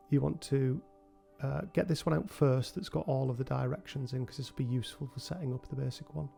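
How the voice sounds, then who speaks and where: A man with a cold hosting a relaxed software tutorial, talking through each step with frequent ums. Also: mid-range voice, vocal fry. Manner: relaxed, thinking aloud with many ums. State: has a cold. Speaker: game developer tutorial host. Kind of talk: screen-recorded software tutorial.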